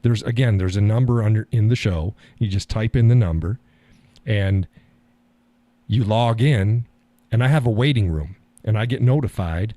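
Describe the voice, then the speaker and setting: A man hosting a live call-in stream, talking in a calm, easygoing way and chuckling often. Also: lowish voice, normal speed, no room sound. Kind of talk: casual chat.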